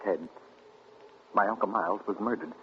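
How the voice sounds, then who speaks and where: An actor with an American accent playing an anxious, grief-stricken man in 1940s radio suspense, urgent, halting and pleading. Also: on the low side, fairly even intonation, close to an everyday voice. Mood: grave, troubled. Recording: scratchy, muffled 1940s radio recording.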